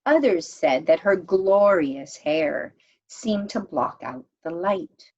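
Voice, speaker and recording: A woman reading a children's picture book aloud in a measured, expressive storytime manner, as if to young listeners. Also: on the low side, lo-fi sound. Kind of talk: read-aloud storytime narration.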